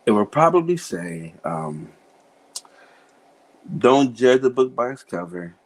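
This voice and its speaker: A man's voice: medium pitch, sing-song, takes it slowly, low-quality recording. A man from Cincinnati, an author being interviewed, talking in an easygoing, joking way. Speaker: author guest. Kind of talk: casual podcast interview.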